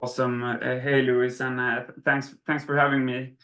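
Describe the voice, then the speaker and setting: A man's voice: mid-range voice, flat delivery, moderate pace, sharp tone. A man from Sweden talking as an interview guest, hesitant, with many restarts and fillers. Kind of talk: casual interview. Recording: roomy echo.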